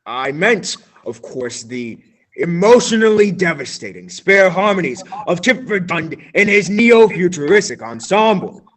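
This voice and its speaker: A young male student actor playing a flustered, solemn radio announcer in a stage radio play, with theatrical pauses. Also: sits high, big pitch swings, a bit bright, full character voice. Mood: announcing.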